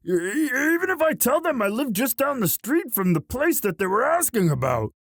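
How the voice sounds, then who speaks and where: Man playing a gravelly, sweetly halting young orc. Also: very high voice, sing-song, a bit bright, no echo, studio quality, exaggerated voice. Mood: earnest, reassuring. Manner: halting, stammering, bashful earnest delivery. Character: young male orc, gentle earnest himbo sweetheart. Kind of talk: intimate romantic roleplay audio drama.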